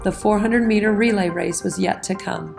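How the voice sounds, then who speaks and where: A woman reads a children's storybook aloud over clear background music. Her medium-pitched voice has a noticeable creak, and she phrases the narration expressively, with a sense of drama. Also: normal speed. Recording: music behind the voice.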